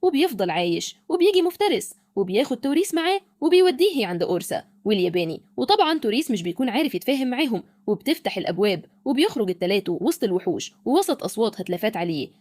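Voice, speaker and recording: Woman, Egyptian accent, scripted recap narration, high-pitched voice, racing pace, dry close sound. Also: a little mellow, dry recording. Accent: Egyptian Arabic.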